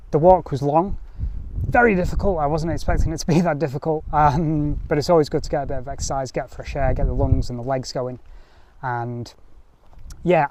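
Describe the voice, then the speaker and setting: A young man speaks to camera from a mountainside, his voice pitched in an ordinary middle range. He rambles easily, filling gaps with ums and doubling back on his words, while a faint hiss and the odd click sit under the outdoor recording. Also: dark tone. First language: English. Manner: rambling, lots of ums and restarts. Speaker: history vlogger. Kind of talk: casual history talk to camera.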